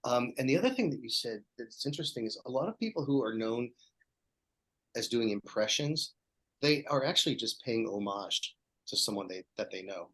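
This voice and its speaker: A man's voice: medium pitch, lively intonation, moderate pace, a bit bright, low-quality recording. A man who used to teach, a guest on a casual podcast, talking with enthusiasm. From Pittsburgh, Pennsylvania.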